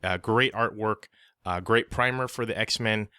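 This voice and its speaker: A man's voice: on the low side, measured pace, sharp tone, smooth, no room sound, clean studio sound. A man co-hosting a podcast, giving his opinions in a casual, conversational review style. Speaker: podcast co-host.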